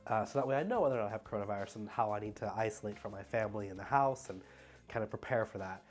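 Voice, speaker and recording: A young man with a stuffy nose, talking casually at home in a rambling, halting way full of fillers. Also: fairly low pitch. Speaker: travel vlogger. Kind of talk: casual vlog Q&A.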